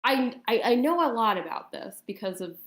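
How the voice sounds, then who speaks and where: A woman chatting casually and explaining things in a hesitant, halting way, with a roomy echo on the recording. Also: fairly high pitch, lively intonation, a bit bright. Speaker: guest on a talk stream. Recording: roomy, echoing sound.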